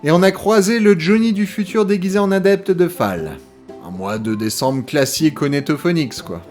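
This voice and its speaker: A man playing a casual, gently mocking sidekick in an audio drama, with a deadpan, colloquial delivery. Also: a little high, moderate pace, soft background music, natural voice. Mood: matter-of-fact. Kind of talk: French audio drama acting.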